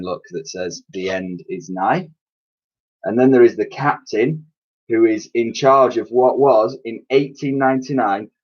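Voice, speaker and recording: A man in his thirties reading a children's storybook aloud in an expressive, explanatory way, like a teacher to pupils. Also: lowish voice. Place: small attic room with sloped ceiling, indoors. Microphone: webcam or laptop mic at arm's length. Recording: dull, lo-fi webcam sound.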